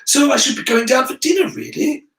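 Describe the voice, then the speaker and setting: Anxious schoolboy played by a male reader: high, hesitant, polite storybook character trying to stay composed, roomy sound. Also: lively intonation, fairly quick, vocal fry, fairly bright tone, heavily acted. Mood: nervous, evasive. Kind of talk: storybook character voice in audiobook reading. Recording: roomy, distant from the mic.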